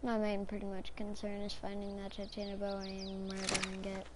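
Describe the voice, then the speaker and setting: A woman with a hoarse, strained voice from a sore throat, rambling casually as she comments on her own gameplay. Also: mid-range voice, monotone, moderate pace, very noisy. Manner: rambling, talks to herself while playing. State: hoarse, recovering from strep throat. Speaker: gamer.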